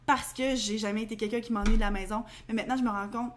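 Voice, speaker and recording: A young woman with a Québécois accent, co-hosting a casual podcast chat, talking quickly with lots of fillers. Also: fairly high pitch, fairly even intonation, slight background noise. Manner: chatty, quick, colloquial, many fillers. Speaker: podcast co-host.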